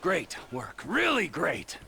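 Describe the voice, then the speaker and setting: Sarcastic scary dealer in a video game, slow n deliberate, forceful gritty voice. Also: male voice, fairly high pitch, huge pitch swings, vocal fry, slight background noise, heavily acted. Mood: mock gratitude. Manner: sarcastic, halting, emphatic repetition. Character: erratic, menacing, sarcastic male drug dealer. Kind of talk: video game cutscene acting.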